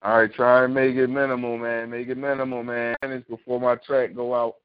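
A man's voice: medium pitch, little pitch movement, fairly quick, clean tone, dry recording. A man hosting a call-in radio talk show, laid-back and slangy as he wraps up the hour. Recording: dry, dull lo-fi audio.

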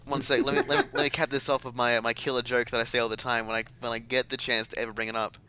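A man co-hosting a casual podcast, telling deadpan ironic jokes. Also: medium pitch, rapid-fire, a little hiss or hum. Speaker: podcast co-host. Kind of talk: casual chat.

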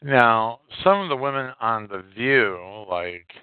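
Slow, halting man on a phone-like line. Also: mid-range voice, animated, clean tone, no echo. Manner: halting, hesitant delivery with pauses. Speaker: podcast host. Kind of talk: casual news commentary. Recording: narrow phone-like audio, dry.

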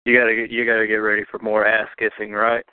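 A man's voice: on the low side, monotone, fast talker, clean tone. A man cutting a boastful, defiant wrestling promo as a challenger, talking over his rivals. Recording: tinny phone line.

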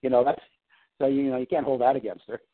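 A man's voice: mid-range voice, steady tone, fast. A man on a phone call from home, talking about his work in a rambling way full of restarts and fillers. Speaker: client on a coaching call. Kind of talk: casual phone conversation. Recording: tinny phone line.

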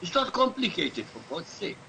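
A man from Iraq whose first language is Arabic, arguing forcefully as a guest and repeating phrases for emphasis. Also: high voice, very animated, moderate pace, creaky, a little hiss or hum, rough recording. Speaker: guest commentator. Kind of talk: emphatic talk-radio argument.

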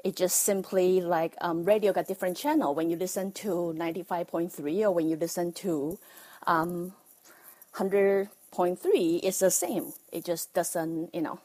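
A woman from Taiwan with a Taiwanese accent, talking casually on a livestream, halting with many ums and nervous laughs. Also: fairly low pitch, dark tone. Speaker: livestream host, life coach.

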